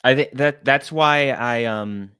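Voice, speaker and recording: A man in his thirties hosting a casual sports interview, speaking haltingly and often repeating words as he goes. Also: mid-range voice, a bit bright, smooth, no echo, clean studio sound. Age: 30s. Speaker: podcast host, interviewer.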